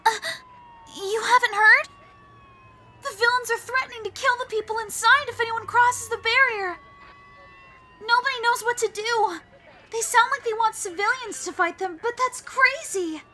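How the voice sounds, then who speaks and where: A woman's voice: extremely high pitch, normal speed, bright, forceful tone. A woman voicing a frightened, earnest young civilian girl in a comic dub. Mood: worried. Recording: music and sirens behind.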